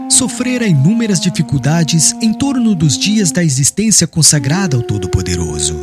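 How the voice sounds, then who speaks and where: A male narrator reads literary prose solemnly and formally, with dramatic phrasing. His voice sits a little high and moves widely in pitch. Music plays clearly underneath. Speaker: audiobook narrator.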